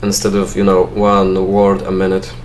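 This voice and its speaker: A man's voice: low-pitched, completely flat delivery, normal speed, slight background noise. A young man with a Polish accent, a non-native English speaker, giving dry, self-mocking gameplay commentary and thinking aloud with fillers. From Poland. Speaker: let's play gamer.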